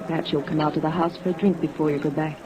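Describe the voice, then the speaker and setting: An actress playing a guarded, distressed widow, polite and hesitant, in a 1950s radio drama style. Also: female voice, low-pitched, steady tone, fast, a crowd in the background, close to an everyday voice. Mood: quietly inviting. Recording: dull vintage radio recording, background murmur.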